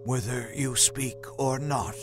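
Solemn Martian creature, played by a male narrator: very slow, grave, godlike pronouncements over background music. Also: medium pitch, fairly even intonation, lightly acted. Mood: grave, cold. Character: solemn otherworldly Martian creature claiming godhood.

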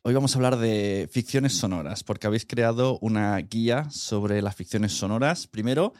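A man's voice: lowish voice, moderate pace, a little mellow, no room sound, soft background music. A man with an accent from Spain, hosting a podcast in a casual, conversational style. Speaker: podcast host.

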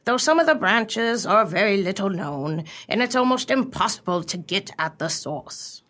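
A woman's voice: medium pitch, lively intonation, moderate pace, fairly bright tone, lightly acted. An actress playing a sly, nonchalant society woman in a radio play, speaking in an airy, genteel, mock-earnest manner. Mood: blithe, teasing. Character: sly, nonchalant society woman, blithely bluffing her book club.

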